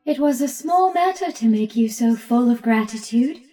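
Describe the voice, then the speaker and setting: An actress playing a composed, commanding spirit guide with a measured manner in an audio play. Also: female voice, on the high side, lively intonation, warm tone, soft background music, close to an everyday voice.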